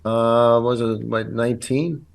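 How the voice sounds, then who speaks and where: An earnest man in his fifties whose first language is Italian. He talks through his story in a relaxed guest chat, pausing on ums and starting phrases over. His voice sits at an everyday middle pitch. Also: creaky. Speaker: guest actor. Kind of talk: casual interview chat.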